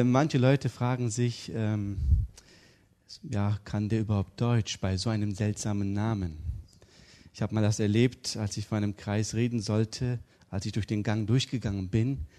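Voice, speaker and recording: Joking middle-aged preacher from Turkey. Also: male voice, fairly low pitch, unhurried. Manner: humorous, lively storytelling. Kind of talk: interview and sermon.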